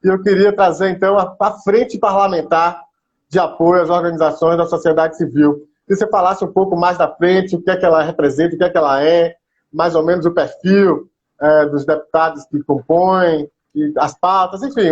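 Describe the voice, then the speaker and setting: A man hosting a live stream, asking long, courteous questions with frequent fillers. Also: on the high side. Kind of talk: interview over a live stream. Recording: dull lo-fi call audio.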